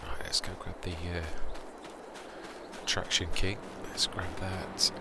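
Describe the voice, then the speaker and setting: A man with a very quiet voice, casually commentating a farming game and thinking out loud in half-whispered asides. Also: sits low, little pitch movement, takes it slowly. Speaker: gaming channel host. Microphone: home desk microphone. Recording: loud game vehicle noise behind voice. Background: game vehicle engine sounds.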